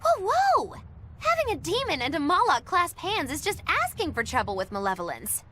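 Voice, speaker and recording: A woman's voice: very high-pitched, huge pitch swings, moderate pace, sharp tone, a little hiss or hum, heavily acted. A woman voicing a small, jumpy, cautioning companion in a video game. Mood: alarmed.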